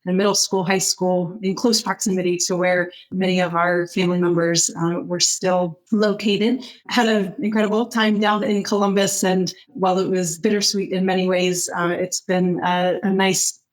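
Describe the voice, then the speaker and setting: Lowish-voiced American woman, measured and conversational. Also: little pitch movement, low-quality recording. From United States, Northeast Ohio. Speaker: podcast guest, healthcare technology executive. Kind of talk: conversational interview answer.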